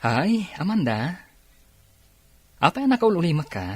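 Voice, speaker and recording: A man's voice: a little high, very animated, a little slow, darkish tone, dry recording. A man playing a polite, eager young suitor with a courteous manner in a radio drama. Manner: courteous, greeting elders.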